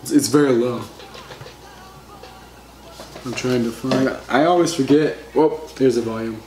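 A man's voice: mid-range voice, measured pace, darkish tone, soft background music. Rambling young guy in an echoey room. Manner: rambling, chatty, many fillers and restarts. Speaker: vlogger. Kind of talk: casual tutorial vlog. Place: small room indoors at a table. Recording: echoey room with faint hiss.

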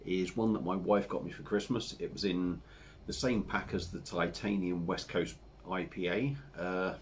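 A man in his forties with an English accent, chatting casually to camera as he reviews a beer at his home bar, rambling and relaxed with lots of fillers. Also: low voice, moderate pace, slight background noise. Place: home bar room with dartboard and signs.

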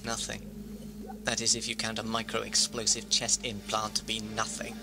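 An actor playing a terse, menacing rebel intruder in an audio drama. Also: male voice, fairly low pitch, monotone, moderate pace, creaky, over loud music. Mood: cold, threatening.

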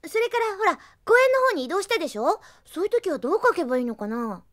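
Very high-voiced girlish rookie policewoman. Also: sing-song, fast, fairly bright tone, full character voice. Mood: puzzled, chatty. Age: young adult. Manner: thinking aloud while writing a diary. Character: young policewoman, earnest, girlish, scatterbrained rookie robot pilot. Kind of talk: anime radio drama acting.

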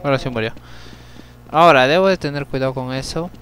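A young man giving chatty gameplay commentary, talking to himself, swearing at the game and breaking into sudden yells. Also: mid-range voice, fast, a little hiss or hum. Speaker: let's play gamer.

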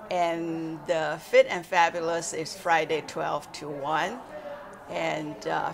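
Brief: woman in her sixties, exercise teacher, slow and gravelly, halting. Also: deep voice, fairly bright tone. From born in China, raised in Taiwan. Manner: halting, with frequent uh fillers and simple grammar. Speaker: exercise instructor. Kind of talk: casual interview. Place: indoor library room, seated in armchair.

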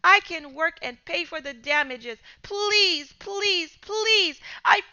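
A woman's voice: very high voice, very fast, pushed and bright, muffled recording, pitched above the performer's own voice. A woman voicing a frightened teenage boy who begs and pleads in a storybook reading. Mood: pleading.

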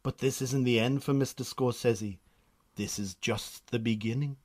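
A man's voice: medium pitch, fairly even intonation, normal speed, darkish tone. A man with a Northern Irish accent narrating a scripted radio drama.

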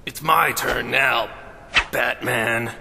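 A sadistic game killer in a gravelly, slow drawl. He taunts with menace, in long reverb over noise. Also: medium pitch. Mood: menacing taunt. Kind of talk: video game cutscene acting.

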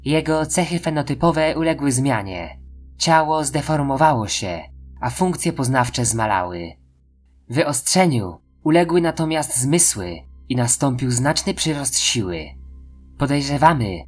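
A woman's voice: extremely low pitch, darkish tone. A woman playing a deferential female research scientist, giving a formal, clinical briefing in an audio drama.

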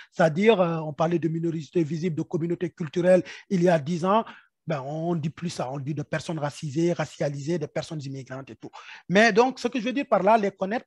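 A man's voice: on the high side, a little mellow, slightly rough, no echo. A man leading a webinar workshop over a video call, often repeating small words as he thinks aloud. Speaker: project officer leading a workshop. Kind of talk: webinar presentation. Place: indoor room. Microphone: video call webcam.

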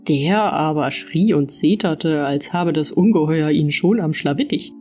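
A woman narrating an audiobook fairy tale in an expressive storytelling style. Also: deep voice, a little slow, faint music behind.

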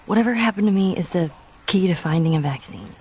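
A woman's voice: fairly low pitch, animated, quick pace, darkish tone, breathy edge, dull audio. A woman playing a blunt, earnest, foul-mouthed teenage girl survivor with an American accent in a video game cutscene. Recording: rain and game score behind.

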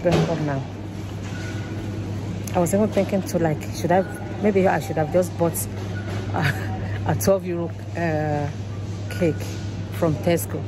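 A woman's voice: fairly low pitch, low-quality recording. A woman of fifty chatting casually to her phone camera as a vlogger, thinking aloud and laughing easily. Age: 50s. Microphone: phone at arm's length.

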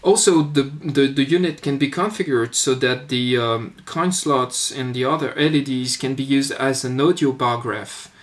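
A man giving an informal demonstration of his electronics project, speaking in a hesitant, halting way. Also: mid-range voice, steady tone. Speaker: electronics hobbyist presenting own board. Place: indoors at a workbench. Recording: noisy webcam-style audio with clicks.

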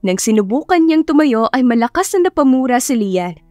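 A Filipino woman narrates a scripted drama in a fairly high voice. The sound is close and dry, with faint music underneath. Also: sing-song, a little fast, no room sound. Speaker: audiobook narrator. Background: soft background music.

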